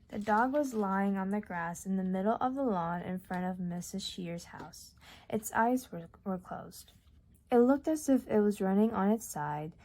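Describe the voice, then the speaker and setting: A young woman whose first language is Urdu, reading a novel aloud in a calm, measured way, dropping to near-whispers at times. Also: mid-range voice. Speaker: audiobook reader. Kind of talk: audiobook narration.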